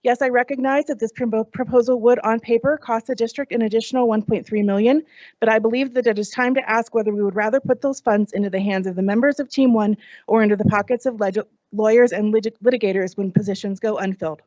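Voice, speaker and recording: A woman's voice: fairly high pitch, fairly even intonation, a little mellow, no echo. A middle-aged woman, an educator, reading an earnest prepared public comment at a podium microphone. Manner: earnest, measured, read from notes. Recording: dull lo-fi meeting audio.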